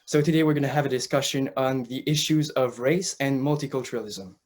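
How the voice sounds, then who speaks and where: A man's voice: medium pitch, fairly quick, darkish tone. A young man co-hosting a student interview, reading prepared questions politely with some hesitant fillers. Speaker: student co-host.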